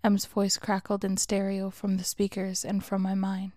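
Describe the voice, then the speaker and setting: A woman's voice: medium pitch, completely flat delivery, normal speed, warm tone, a little hiss or hum. A woman storyteller reading a scripted first-person story as narration. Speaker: storyteller, author.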